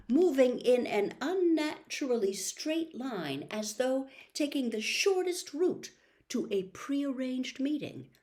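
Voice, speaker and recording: A woman reading a story aloud as an audiobook narrator, with expressive scripted delivery. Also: on the high side. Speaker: audiobook reader.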